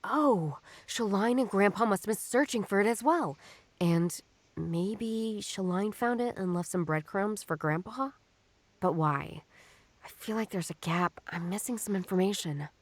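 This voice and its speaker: A woman's voice: medium pitch, unhurried, no echo. An actress voicing a stubborn, skeptical woman detective in a noir game, wry and musing as she reasons things out. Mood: puzzled, wry. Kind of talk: noir detective game voice acting, inner monologue.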